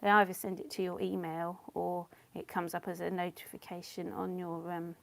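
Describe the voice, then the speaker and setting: A woman's voice: lowish voice, flat delivery, moderate pace, vocal fry, fairly bright tone. A woman chatting casually as she paints on a livestream, her voice partly lost and coughing, laughing often and answering comments. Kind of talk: casual chat while painting.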